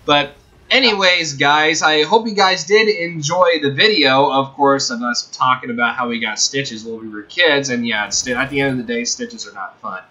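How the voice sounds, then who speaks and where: A man's voice: medium pitch, moderate pace, pushed and bright, muffled recording. A man hosting a gaming channel, chatting and commenting casually. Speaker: host of a gaming video channel.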